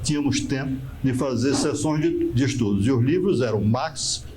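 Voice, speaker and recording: A man in his eighties from Northeast Brazil with a Northeastern Brazilian accent, recalling his past slowly and reflectively in an oral-history interview. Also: mid-range voice, crowd noise, rough recording. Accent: Northeastern Brazilian Portuguese. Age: 80s. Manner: slow, measured, reflective with pauses. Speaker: architect recounting memories.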